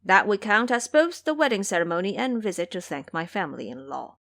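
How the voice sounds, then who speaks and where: A woman acts out a foolish pig-monster bridegroom from an audio drama. He is eager and greedy, blustering and pleading in loud exclamations with a lively, swinging pitch. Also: fairly quick.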